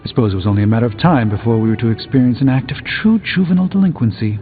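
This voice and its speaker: A proud, erudite sorcerer superhero, played by a man, delivers game dialogue with pompous, theatrical formality and dry wit. His pitch is that of an average man. The audio is thin and narrow, with music behind it. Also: rapid-fire, close to an everyday voice. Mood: wry, haughty. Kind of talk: video game character dialogue.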